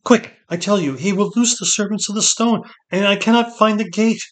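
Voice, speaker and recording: A man's voice: high-pitched, normal speed, voice pushed up, lightly acted. A man voicing an imperious, desperate witch lady in an audiobook fantasy, urgent and commanding.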